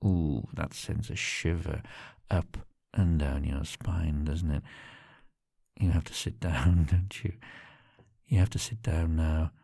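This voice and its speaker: A man speaking softly and teasingly to the listener in an intimate monologue, often dropping to a whisper and chuckling between phrases. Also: very deep voice, steady tone, takes it slowly, vocal fry, breathy edge, no echo, clean studio sound.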